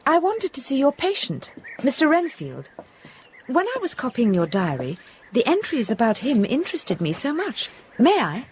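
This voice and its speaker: A woman playing a kind, brave young married gentlewoman, polite and well-spoken, in an audio drama. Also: high voice, wildly swooping pitch, moderate pace, slight background noise. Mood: gentle, polite. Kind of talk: British radio drama acting.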